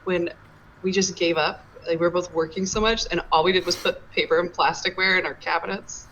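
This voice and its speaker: A woman's voice: on the low side, fairly even intonation, brisk, a bit bright, highs cut off. A woman chatting casually as a player on a tabletop game stream. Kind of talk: casual chat. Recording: clear background noise, live stream.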